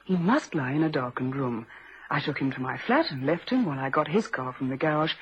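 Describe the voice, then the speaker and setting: An actress playing a prim, loyal and defensive secretary in a vintage radio crime drama, speaking formally and precisely. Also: female voice, low-pitched, expressive, a little fast. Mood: composed. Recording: dull, noisy vintage radio recording.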